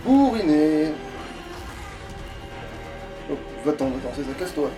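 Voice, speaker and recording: A man's voice: on the high side, very animated, takes it slowly, poor audio. A man chatting casually and swearing freely as he plays through a video game. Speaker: gamer playing in a let's play. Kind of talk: casual gameplay chat. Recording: music playing behind the voice.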